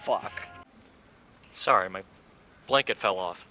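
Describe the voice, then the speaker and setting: Low-voiced man streaming a game. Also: slow, pushed and bright, faint music behind. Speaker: gameplay streamer. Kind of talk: casual chat over gameplay.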